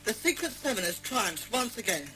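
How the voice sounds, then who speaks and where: A woman's voice: a little high, very animated, normal speed, noisy background. A woman playing a confident, caring child who leads a secret club in an audio drama. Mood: proud, cheerful.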